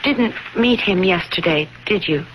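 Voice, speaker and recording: An American actress playing a teasing, skeptical young wife with a wry, assertive manner in a 1970s radio drama. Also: female voice, lowish voice, expressive, a little slow, rough recording. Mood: indignant. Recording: hissy old radio broadcast.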